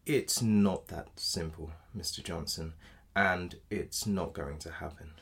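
Man playing audio drama solicitor, very deep, husky, measured, formally polite, bluntly apologetic. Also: a bit bright, exaggerated voice. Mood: blunt, resigned. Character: apologetic but blunt male defence solicitor in dystopian future. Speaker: actor.

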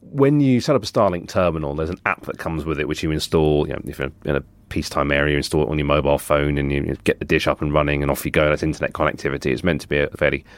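A man, a reporter, giving explanatory analysis on a news podcast in a measured way with asides. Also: a bass voice, fairly even intonation, dry recording. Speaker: guest senior technology reporter.

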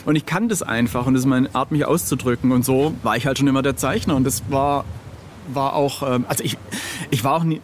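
A man's voice: mid-range voice, a little fast, slight background noise. A middle-aged man chatting casually as an interview guest, telling anecdotes in a jokey, self-deprecating way.

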